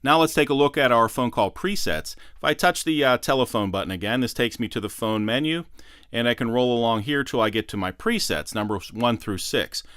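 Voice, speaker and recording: Guy doing a how-to demo, step by step, smooth clear voice, mid pitch, no room echo. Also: a little fast, a bit bright, clean studio sound. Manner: methodical step-by-step explaining. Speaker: product demonstrator. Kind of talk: instructional how-to narration.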